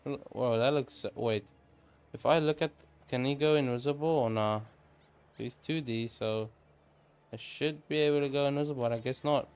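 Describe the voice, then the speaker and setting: A man's voice: mid-range voice, moderate pace, darkish tone, smooth. A man doing casual, slangy gameplay commentary, reacting off the cuff as a streamer. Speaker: gaming streamer.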